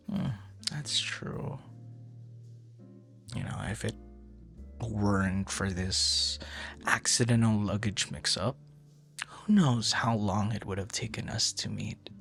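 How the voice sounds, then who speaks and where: A man playing a flustered, smitten young suitor in an intimate ASMR boyfriend roleplay, speaking in halting, tender murmurs. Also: lowish voice, creaky, fairly bright tone, soft background music, exaggerated voice. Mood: playful, affectionate. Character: smitten, flustered young male stranger turned romantic suitor.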